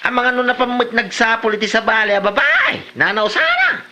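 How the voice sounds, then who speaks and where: A sharp, swooping voice of a cheeky male prankster acted by a man in comedy drama. He speaks with a northern Philippine accent, full of teasing exclamations and repeated interjections. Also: very high-pitched, wildly swooping pitch, normal speed, heavily acted. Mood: playful nagging. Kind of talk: Ilocano comedy radio-style drama acting.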